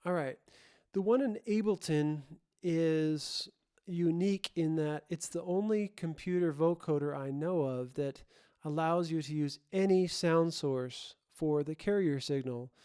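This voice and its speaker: Guy doing a casual tutorial, soft mellow clean voice, kinda halting, backs up and rephrases, really dry sound. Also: on the high side, smooth, dry recording. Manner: halting, thinking aloud, self-correcting. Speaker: host, audio production tutorial presenter. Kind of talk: informal explainer tutorial.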